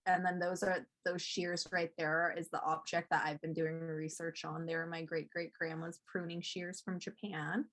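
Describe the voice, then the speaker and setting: A woman's voice: lowish voice, little pitch movement, moderate pace, hardly any pauses, a bit bright. A young woman, a student presenter and host, introducing speakers and reading prepared remarks aloud. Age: young adult.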